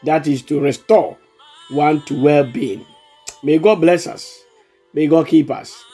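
A man's voice: a little high, slow, dark tone, husky, faint music behind. A Ghanaian man preaching a sermon, switching between Twi and English, earnest and exhorting, often repeating words. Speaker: pastor.

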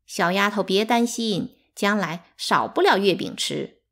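A woman's voice: mid-range voice, lively intonation, measured pace, a bit bright. A woman voicing a cold, mocking teenage maid girl in a storybook reading, brazen and taunting. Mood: loud scornful.

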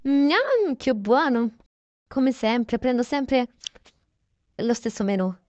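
A chatty woman with a fairly high voice tells a make-believe daily routine. She improvises casually and corrects herself along the way. The sound is dry and somewhat muffled. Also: lively intonation, takes it slowly, darkish tone, no echo. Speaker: narrator voicing a toy-game roleplay video.

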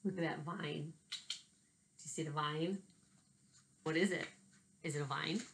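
A woman in a slightly low voice. She is chatty and expressive, addressing young children as their teacher would, in an informal home video with read-aloud storytelling. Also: unhurried, vocal fry. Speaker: elementary school teacher. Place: small bedroom at home, indoors. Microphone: laptop webcam at desk distance.

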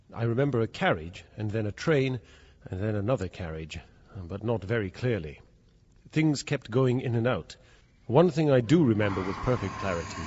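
Man acting haunted English gentleman, wildly swinging pitch. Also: breathy edge. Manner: dramatic, swinging between dazed murmur and panicked cries. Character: feverish, haunted English gentleman narrating his ordeal. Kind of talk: audio drama acting. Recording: muffled, noisy recording.

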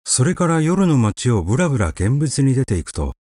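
A man reading a literary short story aloud as an audiobook narrator, with measured, expressive storytelling. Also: mid-range voice, no echo, studio quality.

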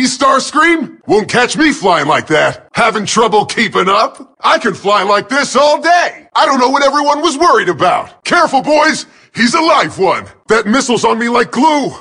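Brash shouting robot jet pilot, high-pitched. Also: male voice, exaggerated voice. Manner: shouted taunts and combat calls. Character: cocky male robot jet fighter pilot, brash and daring. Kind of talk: video game battle voice clips.

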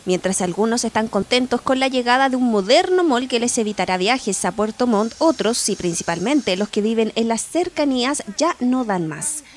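A woman reporter reading a scripted news voice-over in a measured, even way. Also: on the high side.

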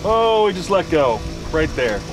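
Easygoing high-voiced fishing show host. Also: male voice, big pitch swings, unhurried, rough recording. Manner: easygoing, asks curious questions. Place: small open boat on a lake, outdoors. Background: background music.

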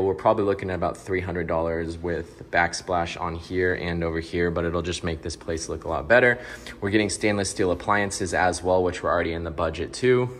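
A young man chatting casually to his viewers as he walks through an empty condo under renovation, filming himself on a handheld phone. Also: sits low. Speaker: real estate investor vlogger. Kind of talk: casual walkthrough vlog. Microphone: phone camera held at arm's length.

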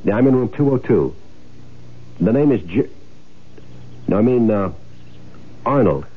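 A shifty male survivor in a 1970s radio play, wry and edgy. His lowish voice moves very freely in pitch. It sounds like a tinny old radio recording. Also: lively intonation, unhurried, slightly rough. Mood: edgy, guarded. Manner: hesitant and edgy in dialogue, wry in narration. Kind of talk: 1970s radio drama, first-person narration and dialogue.

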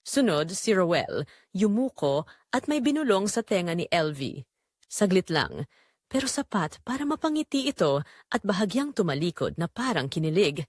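A woman reading scripted dramatic story narration in short, measured, suspenseful phrases. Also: fairly low pitch, very animated, dry recording, clean studio sound.

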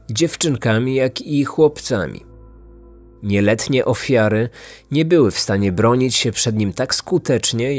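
Man, true-crime podcast narrator, scripted storytelling, mid-pitched voice, soft music behind.

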